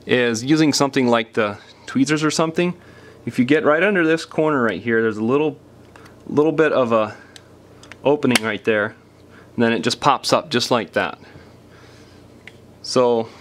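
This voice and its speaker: A man's voice: medium pitch, fairly bright tone. Casual man giving a hands-on repair tutorial. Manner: plain-spoken, informal, explanatory. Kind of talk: casual step-by-step hands-on demonstration.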